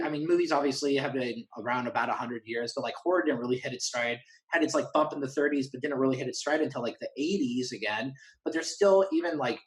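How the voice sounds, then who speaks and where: A man with a middle-pitched voice talks in a casual, enthusiastic way. He rambles as an interview guest and slips into quick imagined back-and-forth dialogue to make his points. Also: rough recording. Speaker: interview guest, horror fan and writer. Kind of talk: casual conversational chat.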